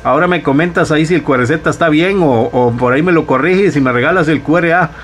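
Costa rican ham radio operator. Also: male voice, a little high, fast, slight background noise. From Costa Rica. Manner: friendly, uses radio jargon. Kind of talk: casual radio chat.

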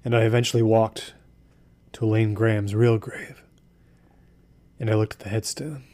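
An actor playing a haunted, obsessive, embittered man in an audio drama, speaking in a halting, deliberate way. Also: lowish voice, little pitch movement, warm tone, close to an everyday voice. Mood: bleak, haunted. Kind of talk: audio drama acting, brooding confessional storytelling.

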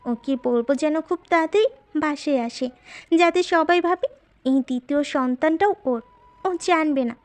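A woman's voice: high voice, moderate pace, darkish tone, lightly acted. A woman playing a flirtatious, scheming unfaithful wife in an audio drama, coaxing and intimate. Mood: sly, affectionate. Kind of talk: audio love-story drama acting.

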